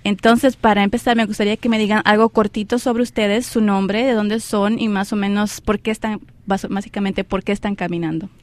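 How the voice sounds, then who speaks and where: A woman's voice: mid-range voice, fairly even intonation, fairly quick, few pauses. A woman hosting a weekly interview podcast, speaking formally and welcoming her guests. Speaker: podcast host.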